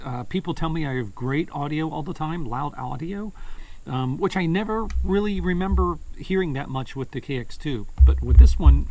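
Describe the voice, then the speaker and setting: A man's voice: on the high side, a little mellow, far from the mic, rough recording. A man giving a casual, unscripted gear review as a hobby vlogger, thinking aloud in a hesitant way.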